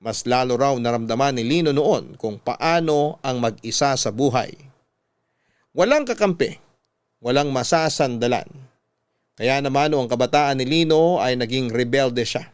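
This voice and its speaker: A man narrating a scripted radio drama in a measured storytelling way. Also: mid-range voice, animated, clear, resonant tone, no room sound.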